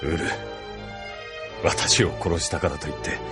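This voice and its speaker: Deep-voiced, grave older colonel. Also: male voice, steady tone, loud music behind the voice. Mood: cold, challenging. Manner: measured, authoritative, stern. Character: grave, commanding older male military colonel. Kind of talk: anime audio drama acting.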